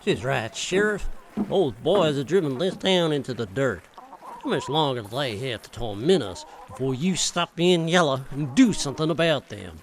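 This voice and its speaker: A man's voice: fairly high pitch, animated, normal speed, slight background noise. A man playing a fed-up, defiant townsman in an audio drama, earnest and challenging. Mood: fed up, urging. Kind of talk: western audio drama acting.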